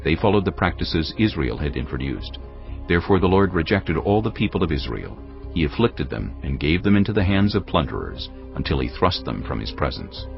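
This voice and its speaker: A male narrator reading scripture in a measured, grave storytelling manner. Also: rumbling low, very animated, vocal fry. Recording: dull lo-fi sound over cinematic music. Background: cinematic music.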